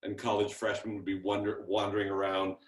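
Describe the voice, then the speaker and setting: Low-voiced man in an echoey room. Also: completely flat delivery, fairly quick. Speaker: panelist in a TV show reunion. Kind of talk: casual anecdote telling. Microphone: video call. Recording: roomy, echoey video call audio.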